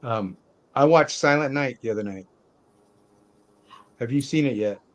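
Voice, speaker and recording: A man's voice: medium pitch, a little slow, muffled recording. A man hosting a movie talk show in a casual, conversational style.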